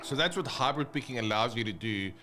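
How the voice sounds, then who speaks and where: A man with a South African accent teaching guitar techniques, explaining step by step in a relaxed way between demonstrations. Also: mid-range voice, brisk. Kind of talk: instructional talk with guitar demonstrations. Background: his own guitar playing between phrases.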